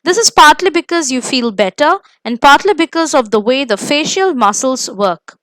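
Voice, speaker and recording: A woman with an Indian accent delivers a scripted lesson in a high, very animated voice. She phrases things deliberately and leans on key words. The sound is dry and close, with very little room. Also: no room sound. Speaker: host of an exam coaching video. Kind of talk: scripted instructional presentation.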